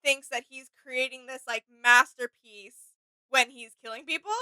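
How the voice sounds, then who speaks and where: A woman's voice: sits high, steady tone, moderate pace, sharp tone, smooth, no echo. A woman co-hosting a reaction video, casually talking through what she notices. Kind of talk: casual analytical chat.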